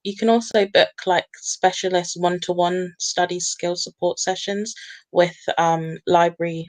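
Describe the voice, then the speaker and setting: A woman's voice: on the low side, steady tone, a little slow, darkish tone, rough recording. A woman who is a law student, speaking earnestly and steadily on a university panel. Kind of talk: panel discussion.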